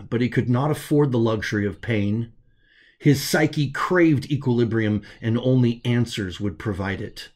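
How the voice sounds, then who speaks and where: A man reads scripted science fiction narration as an author, in a measured way with dramatic pauses. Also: medium pitch, darkish tone. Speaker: author narrating own story.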